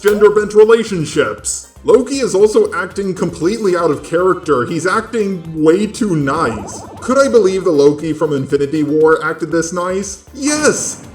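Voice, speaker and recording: A man's voice: high voice, roomy sound. A man giving sarcastic, exasperated, opinionated commentary on films and TV in the manner of an online video host. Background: background music.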